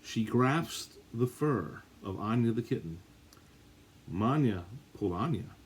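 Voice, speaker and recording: A man with a husky voice reading a children's storybook aloud, with dramatic, drawn-out emphasis. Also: mid-range voice, lively intonation. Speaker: story reader. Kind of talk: animated read-aloud of a children's picture book.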